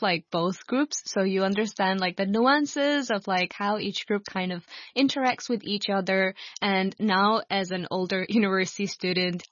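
A woman hosting a community radio interview, asking questions in a friendly, measured way. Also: mid-range voice, no room sound, dull audio. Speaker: radio show host.

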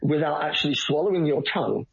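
A man's voice: on the high side, expressive, fast, creaky, breathy edge, dull audio. A man talking as a radio guest in casual conversation, wry and rambling, with many fillers and restarts.

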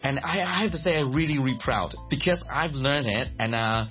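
A man from Malaysia, a guest speaking in a casual interview, animated and quick to laugh, often restarting his words. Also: medium pitch, highs cut off, soft background music. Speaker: guest, creative director and songwriter.

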